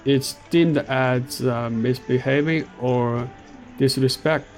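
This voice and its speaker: Chinese-accented male vlogger, middle-aged, unhurried and gravelly, outdoors. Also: mid-range voice, steady tone, soft background music. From China. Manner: slow and halting, with many fillers. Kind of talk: walking tour narration. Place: outdoor park.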